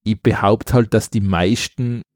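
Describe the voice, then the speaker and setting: A man's voice: lowish voice, fairly even intonation, unhurried, no echo, clean studio sound. A man with an Austrian accent co-hosting a podcast in casual, opinionated chat, often repeating words as he starts a thought. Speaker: podcast co-host.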